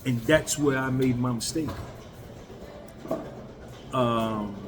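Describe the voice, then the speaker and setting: A man's voice: medium pitch, slow pace, noisy background. A man in his fifties, a YouTuber, talking candidly and bluntly to his viewers in a casual, emphatic way. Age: 50s. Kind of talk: casual talk to camera.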